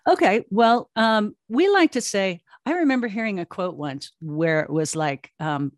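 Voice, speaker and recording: A woman in her sixties speaking conversationally as an interview guest, telling her story in a chatty, animated way. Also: mid-range voice, moderate pace, dry recording, studio quality. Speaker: guest, audio drama producer and creative officer.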